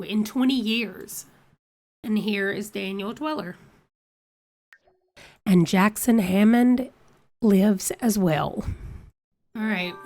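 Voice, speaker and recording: Slow-talking woman with a stuffy cold. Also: medium pitch, darkish tone, slight background noise. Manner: chatty, reads out dice rolls. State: congested from a cold. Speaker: let's play host. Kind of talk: casual game commentary.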